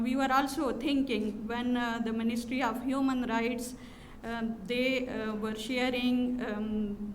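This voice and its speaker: A woman addresses a conference in a slightly high voice at an unhurried pace, often pausing on fillers. Her podium microphone picks up the long reverb of a hotel conference hall, with faint noise underneath. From Pakistan. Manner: measured, with frequent pauses and fillers. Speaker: conference speaker from an NGO.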